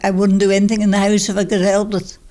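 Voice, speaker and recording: A woman's voice: medium pitch, completely flat delivery, quick pace, warm tone. A woman of ninety, reminiscing conversationally in short, plain-spoken statements as she is interviewed.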